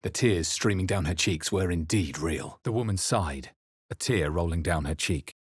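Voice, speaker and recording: An actor playing a wry, guarded male adventurer in a fantasy game, speaking and narrating in a measured, dramatic, crisply enunciated way. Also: low voice, no room sound, clean studio sound. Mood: solemn narration. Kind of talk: scripted game voice lines and narration.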